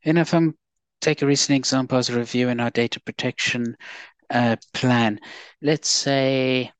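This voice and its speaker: A man from South Africa with a South African accent, a guest expert answering at length in a podcast interview, measured and listing points. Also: medium pitch, fairly even intonation, clean tone, dry recording. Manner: measured, restarts words, lists points.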